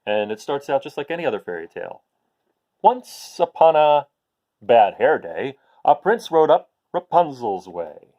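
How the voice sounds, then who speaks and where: A man reading a rhyming picture book aloud to young listeners in an expressive way. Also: mid-range voice, a little slow, highs cut off. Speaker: children's story reader.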